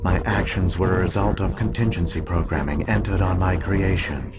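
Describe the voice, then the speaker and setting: Man voicing a deep, solemn ancient machine mind. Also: music in the background, close to an everyday voice. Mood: grave, explanatory. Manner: formal, measured, solemn, detached. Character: ancient alien artificial intelligence, solemn, detached archive keeper. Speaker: exposition-giving game character. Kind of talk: scripted video game dialogue.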